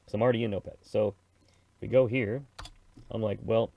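Casual, gravelly middle-aged man explaining software. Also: fairly low pitch, fairly even intonation, fairly quick, lo-fi sound. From Canada. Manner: chatty, informal, slangy, narrates own thoughts. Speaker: presenter of a radio software tutorial. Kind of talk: casual screen-recorded tutorial.

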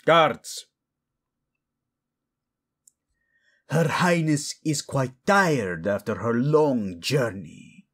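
A man playing a cruel, contemptuous old king in a fantasy audio drama, imperious and swinging from hiss to shout. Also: mid-range voice, expressive, slow, husky, voice pushed up, exaggerated voice. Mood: commanding.

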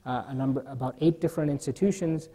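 A man giving a keynote at a scientific conference from a lectern, speaking in a measured way with frequent fillers. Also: medium pitch, warm tone. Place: large conference hall.

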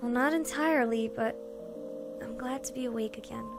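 A woman voicing a young princess pony in an animated drama, emotional and dramatic, weary yet feisty. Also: a little high, normal speed, music in the background, close to an everyday voice. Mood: relieved. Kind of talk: animated fan audio drama acting.

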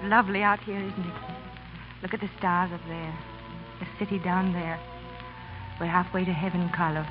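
A woman's voice: fairly low pitch, fairly even intonation, moderate pace, pauses often, natural voice. An actress playing an eager, affectionate young woman in love in a 1930s radio drama. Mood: anxious, romantic. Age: young adult. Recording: muffled 1930s radio recording with music.

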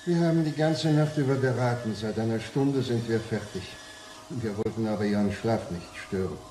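With roomy echo and faint noise, a man plays a deferential council messenger. His voice is dark and mellow. He speaks with formal courtesy in a radio play. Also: lowish voice, moderate pace, close to an everyday voice. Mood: polite, urging. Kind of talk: audio drama dialogue.

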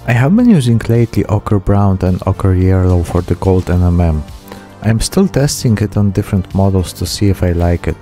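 A man in his thirties hosts a hobby painting vlog. His voice sits fairly low and has a very mellow, soft-edged tone. Faint music plays behind him. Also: expressive, normal speed. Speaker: hobby channel host. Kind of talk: miniature painting vlog narration.

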